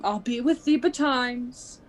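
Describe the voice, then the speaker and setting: A woman playing a foolish, lovesick Venetian gentleman in a Shakespeare radio play, plaintive and melodramatic. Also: on the high side, slow, slight background noise. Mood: meekly hopeful.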